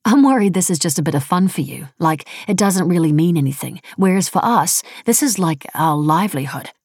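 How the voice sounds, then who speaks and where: A woman's voice: lowish voice, lively intonation, brisk, a little mellow, husky, no echo, clean studio sound. A woman voicing a defensive, scornful, foul-mouthed woman in an audiobook, blunt and sarcastic with casual phrasing. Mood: earnest, frustrated.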